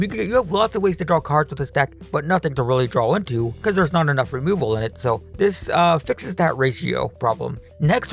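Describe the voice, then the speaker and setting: A man's voice: on the high side, gravelly. A man with a voice he says he is losing, casually explaining deck upgrades in a chatty voice-over that often repeats itself. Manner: chatty, hedging, repeats himself. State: losing his voice. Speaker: card game deck upgrade guide host.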